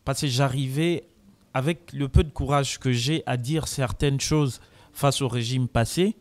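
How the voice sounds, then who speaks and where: A man speaks at a press conference. His voice sits at a middle pitch, and he answers in a measured, reflective way, dropping Lingala phrases between his sentences. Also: a little mellow. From Congo. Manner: mixes French with Lingala, reflective.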